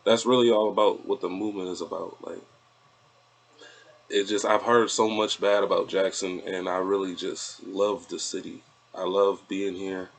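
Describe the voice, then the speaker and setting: A man's voice: low-pitched, flat delivery, moderate pace, vocal fry, poor audio. A man, talking casually and laid-back as an interview guest, rambling a little. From Flint, Michigan, United States. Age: 20s. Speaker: interview guest, event promoter.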